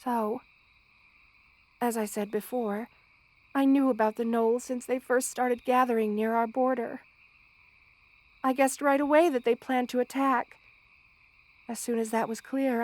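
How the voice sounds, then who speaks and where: High-voiced, motherly spider queen played by a woman. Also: fairly even intonation, darkish tone, no echo, close to an everyday voice. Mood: earnest, explaining. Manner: formal, measured, tender, soothing phrasing. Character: motherly, gentle two-hundred-year-old spider queen monster woman. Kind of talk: ASMR roleplay, intimate scripted monologue to listener.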